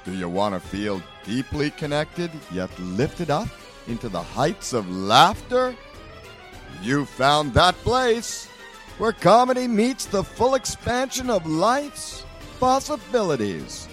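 Man, announcer, scripted intro, slow and showy, slightly high voice. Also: big pitch swings, fairly bright tone. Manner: sweeping, showy announcer delivery. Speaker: show announcer. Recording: music bed behind.

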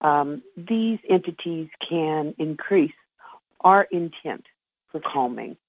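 Pennsylvania woman reading a report, low voice, phone line. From Pennsylvania, USA. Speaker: caller presenting reports.

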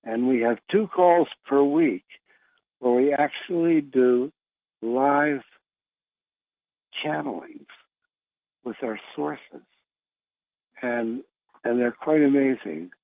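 A man's voice: mid-range voice, slow, long pauses, dry recording. American man, dull lo-fi call-in sound. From Philadelphia, USA. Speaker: conference call host. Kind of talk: casual, rambling call-in talk. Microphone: phone line on conference call.

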